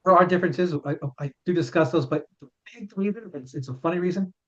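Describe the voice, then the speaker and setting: A chiropractor in his fifties talks in an earnest, explaining way and often stumbles over repeated words. His voice sits a little high for a man, and the sound is muffled and lo-fi, as if it came through a remote call. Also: fast talker. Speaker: chiropractor and author, guest. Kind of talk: casual interview answers. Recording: dull lo-fi sound.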